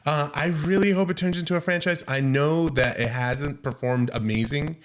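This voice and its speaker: A man's voice: fairly high pitch, moderate pace. A man co-hosting a movie review podcast in casual chat, talking in a chatty, upbeat way with many fillers. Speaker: podcast host.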